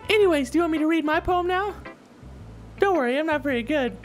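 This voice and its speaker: Visual novel club leader, played by a woman: teenage girl, high-pitched, upbeat, encouraging, light music underneath. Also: animated, fast talker. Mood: cheery. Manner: cheery, chatty, gently coaching. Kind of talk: visual novel character dialogue read aloud. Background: soft background music.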